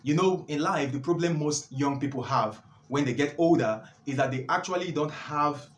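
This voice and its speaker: A man's voice: a little high, normal speed, roomy sound. A nineteen-year-old man earnestly giving life advice in a motivational vlog, preaching and repeating phrases for emphasis. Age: young adult. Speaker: vlogger.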